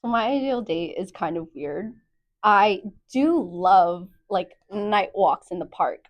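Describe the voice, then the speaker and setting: A woman's voice: medium pitch, lively intonation, slow. A twenty-three-year-old woman answering casually, meandering with lots of "like"s. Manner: dreamy, meandering, many "like"s. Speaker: contestant.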